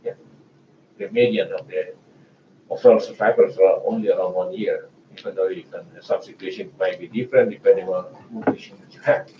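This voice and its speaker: A man's voice: low-pitched, fairly even intonation, fairly quick, a little hiss or hum. A man in his forties with an Indonesian accent, giving a chatty research seminar lecture with hesitant restarts. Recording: echoey, distant, muffled room mic.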